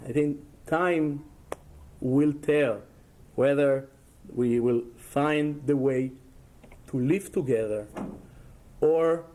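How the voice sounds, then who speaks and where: A man with an Israeli accent giving a university lecture and answering questions, speaking in a measured, deliberate way and repeating phrases. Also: mid-range voice, dark tone. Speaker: guest lecturer. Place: lecture hall.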